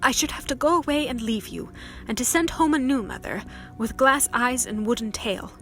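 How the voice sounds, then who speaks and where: A woman's voice: on the high side, normal speed, faint music behind, natural voice. A woman voicing a loving mother of small children in a storybook, tender and earnest. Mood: sad. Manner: tender, gentle.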